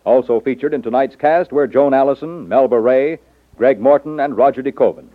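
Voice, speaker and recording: A man's voice: medium pitch, fairly quick, no room sound. A man announcing in the formal style of 1950s radio. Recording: tinny, dry 1950s radio recording with music.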